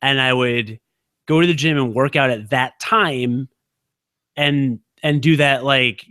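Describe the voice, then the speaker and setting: A man in his thirties co-hosting a podcast, chatting casually in a quick, halting way full of fillers. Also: medium pitch, bright, forceful tone, dry recording, clean studio sound. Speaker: podcast co-host. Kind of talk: casual chat.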